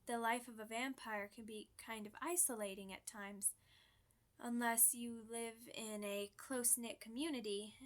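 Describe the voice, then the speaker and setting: Woman voicing a high-pitched, genteel female vampire. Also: lightly acted. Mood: reflective, gentle. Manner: genteel, conversational, slightly formal phrasing. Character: polite, chatty, proud, centuries-old female vampire being interviewed. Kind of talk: ASMR character roleplay.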